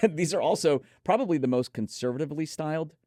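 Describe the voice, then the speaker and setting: A man's voice: fairly high pitch, big pitch swings, fairly quick, dark tone, no echo, clean studio sound. A man hosting a conversational product preview, reading out specs and chatting with enthusiasm about them. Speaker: host presenting an audio gear preview.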